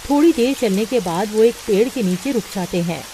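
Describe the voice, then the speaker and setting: A woman narrating a cartoon storybook in a steady, plain way. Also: mid-range voice, brisk, a little hiss or hum, rough recording.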